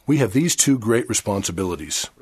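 Older man from Chile preaching a sermon; low, slightly husky voice, measured and earnest. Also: big pitch swings, darkish tone. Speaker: church speaker. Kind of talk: formal sermon.

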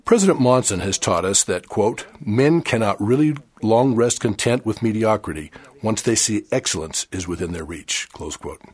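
Older man from Chile preaching a sermon; low, slightly husky voice, measured and earnest. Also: expressive, darkish tone. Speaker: church speaker. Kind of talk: formal sermon.

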